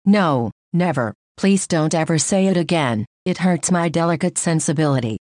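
A prim, condescending voice assistant, played by a woman, delivers sarcastic answers in flat, deadpan lectures. The voice sits a little low. It is recorded close and completely dry. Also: normal speed, dry recording. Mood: deadpan snarky. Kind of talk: scripted comic bit.

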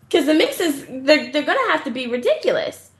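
A woman co-hosting a podcast, chatting casually and excitedly, swearing freely. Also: high-pitched. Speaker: podcast co-host.

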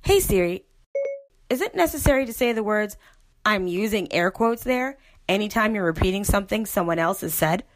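Podcast host lady, bouncy expressive voice, asks goofy mock-serious questions. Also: mid-range voice, normal speed. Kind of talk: casual podcast.

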